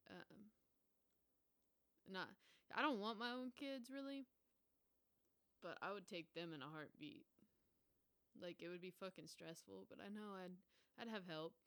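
A woman's voice: medium pitch, a little slow, long pauses, a bit bright, clear, resonant tone. A woman in her twenties hosting a casual solo podcast, rambling and swearing freely with lots of fillers. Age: 20s. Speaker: podcast host.

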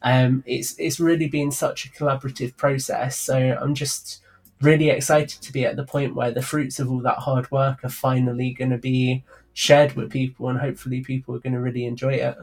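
A man's voice: medium pitch, little pitch movement, a little fast, a little mellow. A man talking casually as a guest on a podcast about his creative work. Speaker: podcast guest, audio drama writer and producer.

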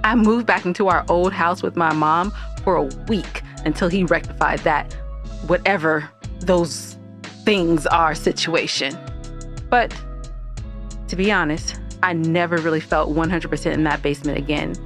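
A woman playing an excitable, dramatic young newlywed in an audio drama, in casual storytelling style. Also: deep voice, moderate pace, soft background music. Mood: confiding, wry. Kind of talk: audio drama acting with first-person storytelling.

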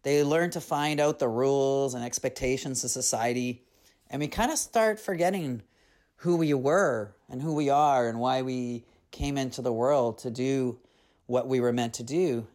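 A storyteller narrating and reflecting on a tale in an earnest, unhurried, reflective way. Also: mid-range voice, a little mellow.

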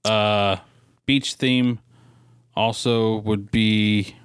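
A man's voice: lowish voice, fairly even intonation, extremely slow, clean tone, dry recording, clean studio sound. An American man co-hosting a podcast in casual, chatty banter. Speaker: podcast co-host.

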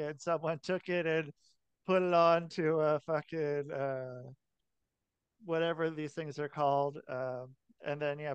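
A man hosting a casual podcast chat, asking informal, halting questions with some swearing. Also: a little high, a bit bright, smooth, dry recording. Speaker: podcast host.

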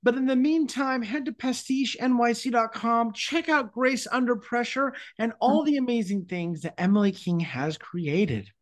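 A man's voice: very high-pitched, moderate pace, hardly any pauses. A man hosting an interview podcast, speaking in a polished, announcing style. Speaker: podcast host.

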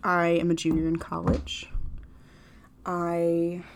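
A woman's voice: fairly low pitch, completely flat delivery, takes it slowly, vocal fry, noisy background. A woman of twenty hosts her own podcast in a chatty, upbeat manner, full of fillers and self-deprecating asides. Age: 20s. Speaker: podcast host. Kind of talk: casual solo podcast monologue.

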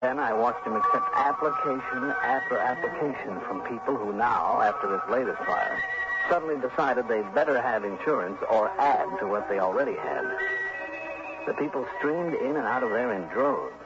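A man's voice: mid-range voice, lively intonation, moderate pace, lightly acted. An American actor playing a level-headed male insurance investigator who narrates his case in an early 1960s radio drama. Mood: matter-of-fact narration. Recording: dull old radio broadcast, music behind.

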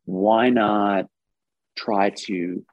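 A man from France talking casually as an interview guest, halting and thoughtful and often chuckling. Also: fairly low pitch, steady tone, no room sound, highs cut off. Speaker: interview guest, singer-songwriter and actor.

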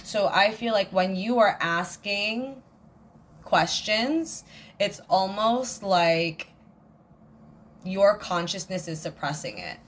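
A woman's voice: medium pitch, animated, slow pace. A woman co-hosting a podcast, chatting casually and rambling, swearing freely. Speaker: podcast co-host. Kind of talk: casual chat.

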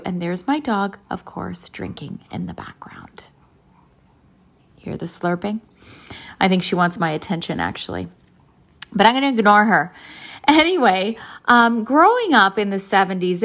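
A woman in her fifties, a nutrition and lifestyle vlogger, talking candidly and thoughtfully to her viewers. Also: medium pitch. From Canada. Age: 50s. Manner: reflective, open and thoughtful. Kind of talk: candid casual talk to camera.

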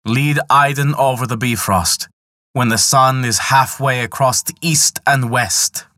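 A man voicing a giant disguised as a huge eagle, speaking slowly and commandingly as he bargains in a myth retold aloud. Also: mid-range voice, sharp tone, dry recording, studio quality, pitched above the performer's own voice.

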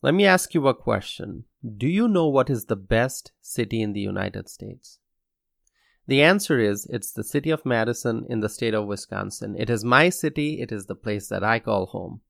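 A young man from Bangladesh hosting his own podcast, speaking in an earnest, measured scripted monologue. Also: mid-range voice, lively intonation, creaky, fairly bright tone, dry recording, studio quality. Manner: measured, earnest, slow deliberate delivery. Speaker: podcast host.

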